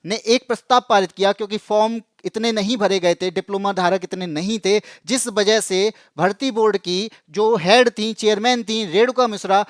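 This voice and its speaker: A man's voice: sits high, steady tone, moderate pace, hardly any pauses, dry recording, studio quality. A man presenting an explanatory news update to students, emphatic and repeating phrases for stress. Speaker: exam coaching channel presenter.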